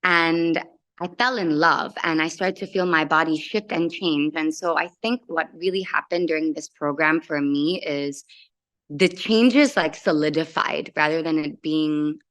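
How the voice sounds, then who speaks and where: A woman's voice: deep voice, unhurried, fairly bright tone, rough recording. A woman from Indonesia speaking conversationally as a coach, giving a personal testimonial. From Jakarta, Indonesia. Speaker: transformational soul coach.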